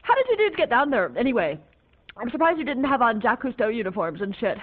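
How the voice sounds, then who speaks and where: A woman playing a high, paranoid young raver rambling dreamily to police officers, her voice tinny and lo-fi. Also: sing-song, fast. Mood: spaced-out. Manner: dreamy, babbling, whimpering. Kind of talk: comic stage monologue. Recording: tinny phone-like lo-fi recording.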